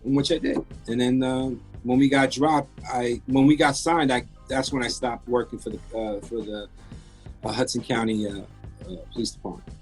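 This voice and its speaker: A man's voice: mid-range voice, steady tone, moderate pace, a little mellow, slightly rough, poor audio. Man in his fifties, born in France, rambling interview guest, candid, faint music behind. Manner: rambling, candid, restarts often. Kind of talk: casual interview storytelling.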